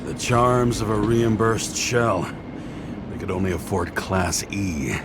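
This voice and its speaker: A low-voiced sardonic detective, heavy noise. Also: male voice, slow pace. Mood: wry, weary. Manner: dry, wry, insistent. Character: worn-out, sardonic male detective. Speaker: video game character actor. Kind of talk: dramatic video game dialogue acting. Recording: very noisy game ambience, vehicle rumble.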